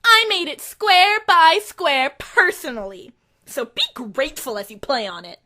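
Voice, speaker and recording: A woman's voice: high-pitched, big pitch swings, a little slow, bright, forceful tone, heavily acted. A woman playing a brash, bossy, boastful teenage girl club leader in an anime-style audio drama. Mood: proud, boastful. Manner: brash, commanding.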